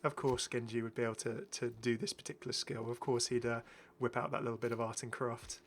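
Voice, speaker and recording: A man's voice: medium pitch, flat delivery, fast, slight background noise. British guy rambling happily over a video game, casual and chatty. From Britain. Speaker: gaming video host.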